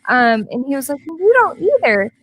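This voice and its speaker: A woman's voice: high voice, big pitch swings, normal speed, a bit bright, slight background noise, rough recording. A young woman co-hosting a podcast, teasing and telling stories in casual chat with lots of laughs. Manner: teasing, giggly storyteller. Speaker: podcast co-host.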